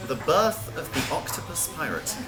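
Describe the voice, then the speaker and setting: A woman's voice: deep voice, very animated, moderate pace. A woman reading a scripted story aloud as a narrator, with music behind her. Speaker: reader.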